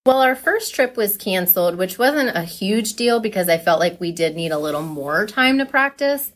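Chatty, fairly low-voiced woman coach. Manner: chatty, enthusiastic, laughs readily. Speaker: speech team coach being interviewed. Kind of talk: casual interview chat.

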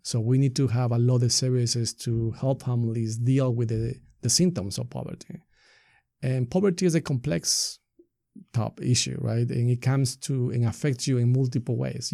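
A middle-aged man with an Ecuadorian Spanish accent speaks in a mellow, creaky voice, recorded close and dry. He is a native Spanish speaker who explains things earnestly in an interview, often posing rhetorical questions to make his point. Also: medium pitch, no room sound. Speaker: nonprofit staff member being interviewed. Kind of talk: reflective interview answers.

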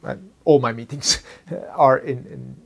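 A middle-aged man, a Dutch speaker with a Flemish accent, talking as a company executive about his leadership, pausing often to search for words and tagging points with "huh?". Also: mid-range voice, steady tone, gravelly.

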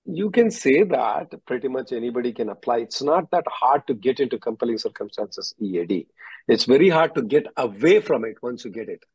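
A husky-voiced man giving direct, instructive legal advice as the attorney hosting a question-and-answer call. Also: mid-range voice, expressive, a little fast, a little mellow, dry recording. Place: conference call, close dry sound. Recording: dull, muffled conference-call audio.

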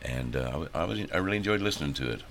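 A man's voice: a bass voice, lively intonation, very fast, fairly bright tone, slight background noise. An older man, a pastor, chatting casually on a podcast, joking and rambling with restarts.

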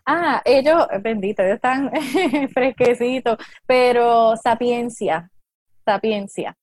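A woman with a Puerto Rican accent in a casual chat, pausing often with fillers. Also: fairly high pitch, dry recording. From Puerto Rico. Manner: hesitant, many fillers. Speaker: guest.

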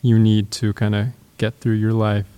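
A man's voice: on the low side, monotone, moderate pace, dark tone, clean tone, no echo. A man who grew up partly in Japan, talking in a casual group chat, hesitant with many fillers. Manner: hesitant, many ums and likes.

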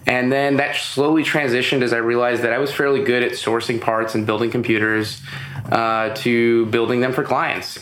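A man's voice: medium pitch, fairly bright tone, roomy sound, slight background noise. A man being interviewed as a guest, explaining at length in a loose, conversational way with many fillers. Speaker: interview guest, business owner. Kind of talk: casual interview chat. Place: room with audible echo, recorded in person.

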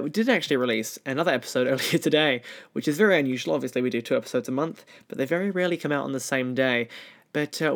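Man, Australian accent, medium pitch, podcast host, chatty interview style. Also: gravelly. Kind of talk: podcast introduction and interview chat.

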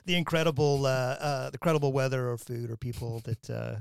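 A man from Wyoming hosting an academic podcast, talking in a loose, rambling way with many restarts and quick laughs. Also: mid-range voice, fairly even intonation, gravelly, clear, resonant tone, dry recording, studio quality. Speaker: podcast host. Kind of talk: casual academic interview.

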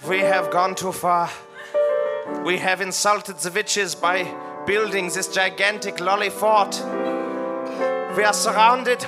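A performer voicing a melodramatic young Hansel, slow and grandly dramatic. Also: medium pitch, steady tone, loud music behind the voice, natural voice.

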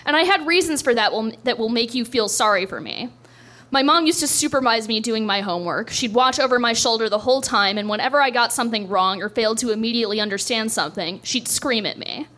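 A woman's voice: on the high side, quick pace, a bit bright. A young woman doing a stand-up set, telling a story in a dry, self-deprecating way on a club stage. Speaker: stand-up comedian.

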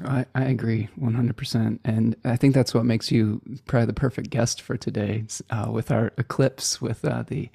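Male host, husky voice, mellow tone, gravelly, dry close sound. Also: mid-range voice, level tone, normal speed, few pauses, no room sound. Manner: upbeat, with many fillers. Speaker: host of a live show. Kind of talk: casual interview talk.

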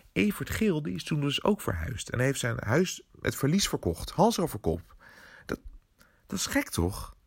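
A man playing a nervous, secretive newsroom colleague who speaks haltingly and casually, as in a voice message in an audio drama. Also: mid-range voice, gravelly, husky, no echo, clean studio sound, exaggerated voice. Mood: intrigued, suspicious. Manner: hesitant, halting, stumbling over words.

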